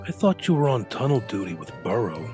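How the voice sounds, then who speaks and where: A suspicious taskmaster mouse, acted by a man, stern and disapproving. His lowish voice rises and falls sharply, audio drama style with faint music behind. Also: very animated, a little fast.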